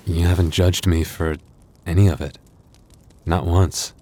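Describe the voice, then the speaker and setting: A man voicing a tender, bashful young werewolf boyfriend in an intimate ASMR roleplay, halting and stammering, with breathy little laughs and sighs. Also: sits low, fairly even intonation, darkish tone, a little hiss or hum. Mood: vulnerable, relieved.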